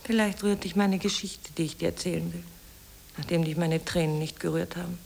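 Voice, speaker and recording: An actress playing a yearning, wounded woman addressing her lover in a 1950s German radio drama, with hushed, intimate, poetic phrasing. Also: fairly low pitch, steady tone, brisk, creaky, slight background noise. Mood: pleading. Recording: old radio play on cassette tape.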